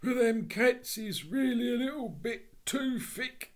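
A man voicing a rough, frightened male burglar who speaks in coarse dialect and drags his words out slowly. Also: extremely high pitch, animated, voice pushed up, full character voice. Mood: pleading. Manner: coarse dialect speech, pleading, slow drawl.

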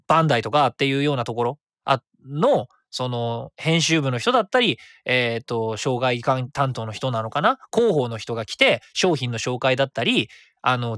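Fast, lively man talking solo. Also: mid-range voice, no room sound, studio quality. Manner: rambling, self-correcting, quick and animated. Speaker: web radio host. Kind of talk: solo casual talk.

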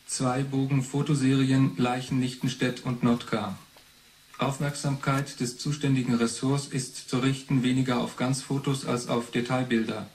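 An actor playing an impersonal official who reads forensic reports in a clipped, bureaucratic way for a 1980s German radio drama. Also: male voice, mid-range voice, flat delivery, normal speed, a little mellow, a little hiss or hum, natural voice, poor audio. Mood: detached.

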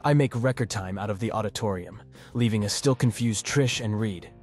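A man's voice: on the low side, a little fast, a little mellow, faint music behind, close to an everyday voice. A man playing an awkward, wry teenage boy in a visual novel, both narrating and speaking in dialogue.

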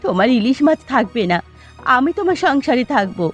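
A woman voicing a sharp-tongued, easily hurt young village wife in a cartoon. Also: high voice, big pitch swings, a little fast, lo-fi sound, faint music behind. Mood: hurt, quarrelsome. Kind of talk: Bengali cartoon dialogue.

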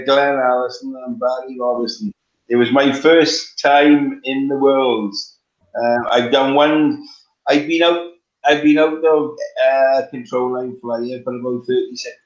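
A man's voice: medium pitch, fairly even intonation, a little slow. A middle-aged man with a Welsh accent, chatting on a video call from his living room, with many ums and restarts. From Wales. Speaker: guest, competition flyer. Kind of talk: casual video call chat. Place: home living room, on a couch. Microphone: webcam on a video call. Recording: roomy, dull lo-fi webcam sound.

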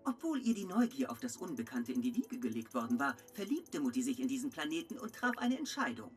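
A woman's voice: extremely low pitch, very animated, fairly quick, faint music behind. A woman reading a game's story narration in a dubbed video game.

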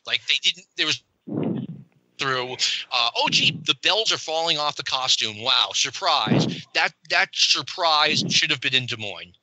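A man co-hosting a wrestling podcast, telling opinionated stories in a casual, animated way. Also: a little high, unhurried, poor audio. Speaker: podcast co-host.

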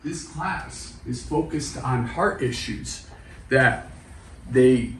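A man's voice: mid-range voice, extremely slow. A middle-aged man delivering scripted church announcements to camera. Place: large indoor church foyer and halls. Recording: roomy, distant, noisy hall sound.

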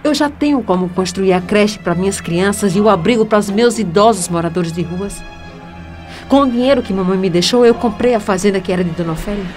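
An actress with a Brazilian accent playing a defiant, self-righteous young student in a theatrical, taunting radio soap style. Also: female voice, medium pitch, brisk, a little mellow, soft background music, lightly acted. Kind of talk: radio soap opera acting.

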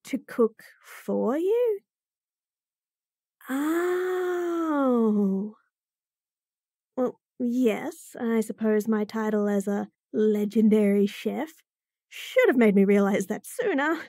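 A woman's voice: expressive, very slow, warm tone, no echo, clean studio sound, full character voice. Woman voicing a stammering flustered bunny girl. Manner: stammering, squeaky, nervous giggles. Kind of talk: roleplay audio drama.

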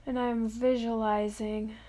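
A woman leading a guided meditation of affirmations, speaking softly and dreamily in drawn-out phrases with long pauses. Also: a little high, level tone.

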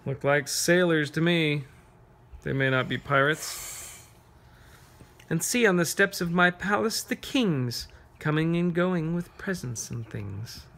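Guy reading a kids picture book out loud, kinda creaky voice, asks little questions and encourages them. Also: fairly high pitch, animated, takes it slowly. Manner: gently quizzing and encouraging. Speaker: parent reading aloud to children. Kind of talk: picture book read-aloud with casual questions. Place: carpeted room at home, sitting on floor.

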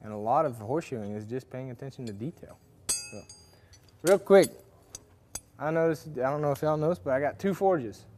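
A man's voice: medium pitch, normal speed, a little mellow, a little hiss or hum. A man giving a casual, rambling farrier demonstration at a workshop with forges, with lots of fillers and restarts. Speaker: farrier instructor. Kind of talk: casual hands-on demonstration talk.

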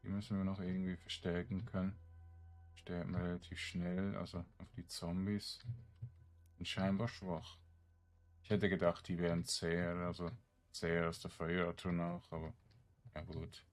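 A man giving casual gameplay commentary, thinking aloud in short, halting phrases. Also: deep voice, monotone. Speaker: let's play streamer.

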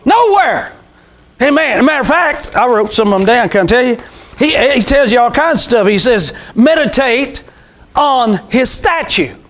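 A man's voice: very high-pitched, sing-song, moderate pace, a bit bright. A man in his sixties preaching an informal, fervent sermon as a pastor, emphatic and repetitive, with rhetorical questions. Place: small church sanctuary, indoors. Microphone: clip-on lapel mic.

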